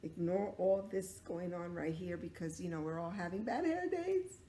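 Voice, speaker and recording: A woman's voice: on the low side, animated, moderate pace, dark tone. A woman telling a story to young children like a teacher, encouraging them with questions and acting out little sound effects. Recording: roomy echo, clear background noise.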